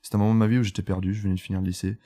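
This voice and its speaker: A man's voice: deep voice, little pitch movement, very fast, warm tone. A man in his twenties from Italy, speaking French as a podcast guest, telling his story candidly with lots of fillers and restarts. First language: Italian. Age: 20s. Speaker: guest photographer. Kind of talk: casual podcast interview.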